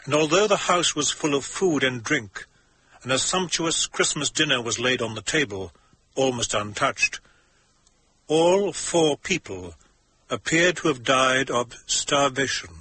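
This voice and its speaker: An actor playing a formal radio newsreader, delivering a scripted bulletin with measured emphasis in a drama. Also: male voice, medium pitch, animated, pushed and bright, husky, heavily acted, low-quality recording. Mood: formal, sober.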